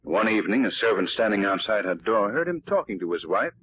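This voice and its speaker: A man's voice: very animated, brisk. An actor playing a cultured, thoughtful young man of wealth in a 1940s radio drama. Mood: thoughtful, explanatory. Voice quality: low, husky. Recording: muffled, phone-like 1940s radio recording.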